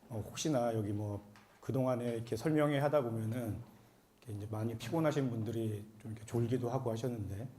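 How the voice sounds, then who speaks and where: A man with a cold giving a formal explanatory seminar presentation as an accountant, speaking politely and a bit haltingly. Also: mid-range voice, mellow, slight background noise. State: has a cold.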